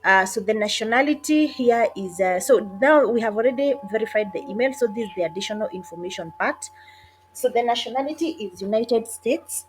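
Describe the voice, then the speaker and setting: A woman narrating an online job application walkthrough in a casual, hesitant way, with frequent pauses and self-corrections. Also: a little high, lively intonation, slight background noise, rough recording. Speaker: online job tutorial creator. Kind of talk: casual screen-recorded walkthrough.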